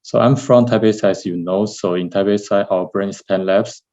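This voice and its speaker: A man with a Taiwanese accent presents in a low, dark voice. He talks haltingly, often correcting himself mid-phrase, as a lab director would in an online seminar. The video-call sound is a little dull and lo-fi. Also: fairly even intonation. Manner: halting, with repeated and corrected words. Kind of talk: webinar slide presentation. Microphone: video call, seated at computer. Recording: dull, lo-fi webinar audio.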